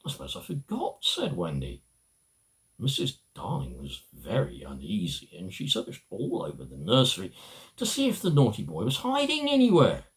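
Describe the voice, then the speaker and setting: A middle-aged man reading a children's story aloud, halting and emphatic, with small stumbles. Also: low-pitched, wildly swooping pitch. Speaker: amateur storybook reader.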